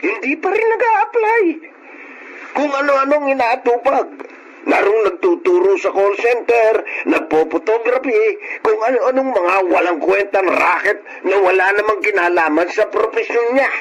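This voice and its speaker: A man's voice: high-pitched, animated, moderate pace, fairly bright tone, dull audio, full character voice. An older man acting a disappointed, domineering father in a Filipino radio drama, scolding in exasperation. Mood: disgusted. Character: disappointed, domineering father nagging his grown son. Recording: radio drama with faint background noise.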